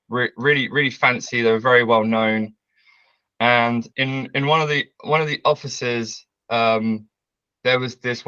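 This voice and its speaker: A man, telling a story as a guest on a video call, loud and brash, laughing often. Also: mid-range voice, no echo, highs cut off. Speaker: interview guest. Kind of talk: casual storytelling. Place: bright home room with large windows.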